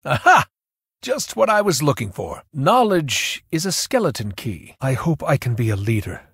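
A man's voice: medium pitch, big pitch swings, moderate pace, fairly bright tone, husky, no room sound, clean studio sound, exaggerated voice. A young man playing a thoughtful, cerebral young mage in a video game, earnest and self-doubting, with an introspective, wry delivery. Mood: curious, delighted. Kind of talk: video game character voice lines.

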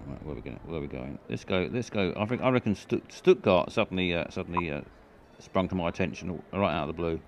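A very deep-voiced man talks through a live stream in a loose, rambling way. His humour is dry and self-mocking, and he reads out comments from chat as he goes. A faint hiss of noise sits under his voice. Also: quick pace, hardly any pauses.

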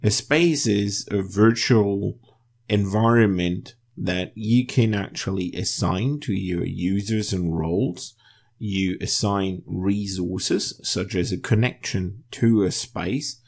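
Low-voiced man narrating a software tutorial. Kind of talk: instructional screencast narration.

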